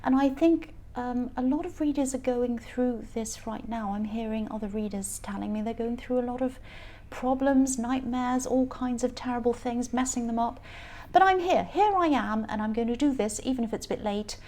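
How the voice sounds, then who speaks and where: A woman with a croaky voice giving a casual, rambling tarot reading, often laughing as she talks. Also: a little high, normal speed, a little mellow. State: croaky voice from stress. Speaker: tarot reader.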